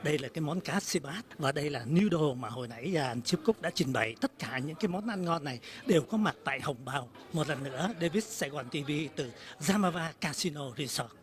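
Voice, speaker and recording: A man's voice: a little high, animated, fast talker, hardly any pauses. A man reporting for television, formally addressing his viewers. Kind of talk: news report to camera.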